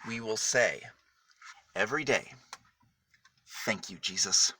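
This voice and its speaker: A man reading a children's story aloud in a dramatic, deliberate manner with emphatic pauses. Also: lowish voice, bright, forceful tone.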